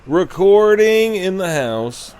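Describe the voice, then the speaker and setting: A man hosting a podcast, talking casually on his own in a halting, rambling way. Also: sits high, very animated, darkish tone, clean tone. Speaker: podcast host. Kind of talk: casual solo podcast talk.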